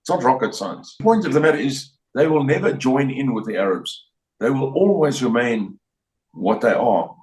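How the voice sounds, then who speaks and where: A man's voice: mid-range voice, animated, normal speed, a little mellow, recorded from a distance. An older man with a South African accent, giving a blunt, rambling interview answer with many repeats and restarts. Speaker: interview guest. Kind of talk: opinionated interview talk. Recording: roomy sound, voice a bit off-mic.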